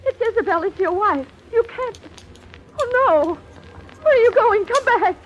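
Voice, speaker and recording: A frightened wife in a vintage radio thriller, pleading in a very high voice that swings widely in pitch. Her delivery is breathless and melodramatic, on a muffled, noisy old recording. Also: lively intonation, normal speed. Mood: desperate, panicked.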